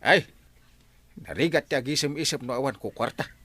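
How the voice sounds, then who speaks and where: A man's voice: mid-range voice, a little fast, sharp tone, slightly rough, no room sound. A man playing a grumbling, penniless village husband with an Ilocano accent in a Filipino radio comedy drama.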